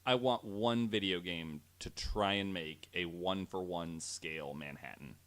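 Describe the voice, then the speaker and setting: A man's voice: low voice, unhurried, fairly bright tone. A man co-hosting a podcast in casual chat, rambling enthusiastically and swearing freely. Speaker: podcast co-host.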